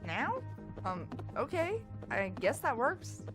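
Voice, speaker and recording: A woman voicing an easygoing, puzzled young pony friend in an animated cartoon, casual and questioning. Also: high voice, takes it slowly, full character voice. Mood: surprised, hesitant. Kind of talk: animated cartoon drama acting. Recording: music behind the dialogue.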